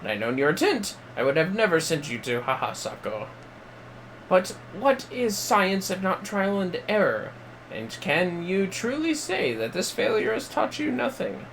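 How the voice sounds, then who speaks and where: A man's voice: sits high, big pitch swings, measured pace, vocal fry, a bit bright, a little hiss or hum, voice pushed up, full character voice, poor audio. A man voicing a fussy, boastful scholar in a video game, with pompous, wordy, theatrical delivery. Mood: flustered, insistent. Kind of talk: reading game dialogue aloud in character.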